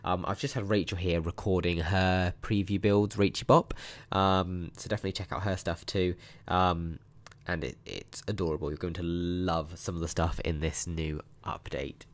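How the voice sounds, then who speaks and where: A young man with a hoarse, croaky voice from a sore throat, chatting upbeat through a mobile game walkthrough with plenty of ums. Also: low voice, steady tone, normal speed. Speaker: mobile game channel host. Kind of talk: casual gameplay walkthrough commentary.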